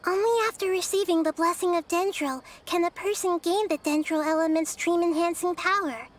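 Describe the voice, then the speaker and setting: A woman voicing a small, girlish young goddess who explains things gently and patiently in a video game. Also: very high-pitched. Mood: patient, serious. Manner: measured, explanatory. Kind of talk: video game character acting.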